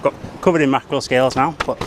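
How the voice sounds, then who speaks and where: Young male angler, casual excitable commentary, action-camera audio outdoors with heavy surf and wind noise. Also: mid-range voice, fast talker. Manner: excitable, laughing, chatty while reeling in fish. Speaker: angler filming his own fishing trip. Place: outdoors on rocky sea shore by waves. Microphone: head-mounted action camera.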